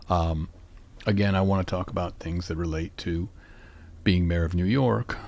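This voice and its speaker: A man in his fifties from North Carolina, hosting a rambling solo monologue in a sleepy, calm and even voice. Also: a little hiss or hum. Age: 50s. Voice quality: low, sleepy voice. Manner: calm, musing, even delivery. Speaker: podcast host.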